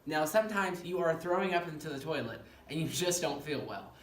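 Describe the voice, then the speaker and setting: A male professor with a fairly high-pitched voice, giving an animated classroom lecture and often checking in with "okay?". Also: brisk. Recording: echoey room, mic far off, faint noise.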